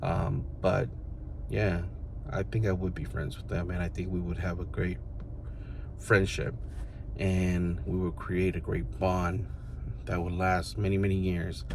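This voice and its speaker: A man's voice: low-pitched, flat delivery, measured pace, vocal fry, noisy background. A man in his mid-thirties talking to his viewers in a loose, rambling, reflective way, full of fillers. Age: 30s. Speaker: vlogger. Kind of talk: casual reflective monologue to the camera.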